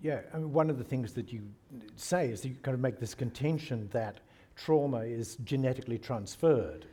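A man's voice: mid-range voice, normal speed, dark tone, breathy edge. A man of Scottish descent hosting a talk, introducing his guest and then asking her questions, with light laughs. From Scotland. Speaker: host.

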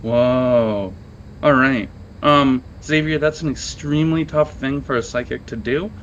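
A man acts as game master in a tabletop role-playing session, with a mid-pitched voice. He improvises scenes conversationally and exclaims at what players choose. There is faint noise behind him. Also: a little slow, fairly bright tone, muffled recording.

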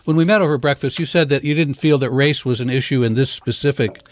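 A man interviewing a guest, asking questions haltingly with fillers. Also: medium pitch, no echo.

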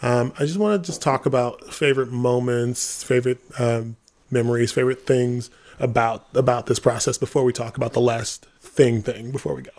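A man hosting a podcast, a playwright telling stories casually in a halting, informal way. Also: medium pitch, steady tone, a little mellow. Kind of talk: casual storytelling chat.

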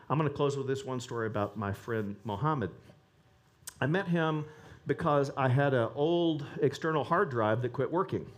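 A man preaching a sermon, animated and rising to emphatic peaks. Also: medium pitch.

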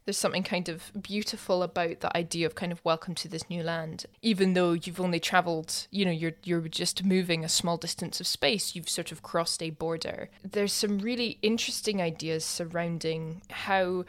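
A woman's voice: lowish voice, fairly even intonation, normal speed, hardly any pauses. Chatty woman hosting a podcast. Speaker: podcast host. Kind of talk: conversational podcast chat.

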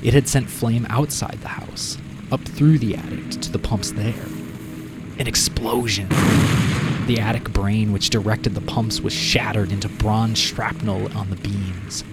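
A man narrating a scripted short story as audio drama, with deliberate, dramatic phrasing. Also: on the low side, steady tone. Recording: prominent music behind the narration.

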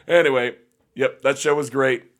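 A young man with a slightly raspy voice chatting casually as a podcast host, laughing often and rambling through fillers. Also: mid-range voice, fairly even intonation, normal speed. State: slightly raspy voice after a gig. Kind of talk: casual chat.

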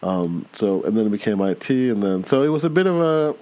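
A low-voiced American man in his thirties chats casually as a podcast co-host. He sounds relaxed and fills his sentences with "uh" and "you know" as he tells his story. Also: brisk, lo-fi sound. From United States.

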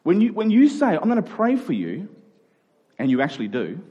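A man delivers a conversational sermon in a husky, slightly high voice. He is earnest and repeats key phrases to drive them home. The recording sounds a little dull and lo-fi. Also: huge pitch swings, a little fast, mellow. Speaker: preacher.

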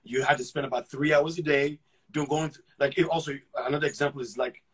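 A man from Ghana, an actor, talking about his career in a relaxed interview, often restarting and repeating words as he thinks. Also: on the high side, quick pace, slightly rough. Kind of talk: casual conversational storytelling.

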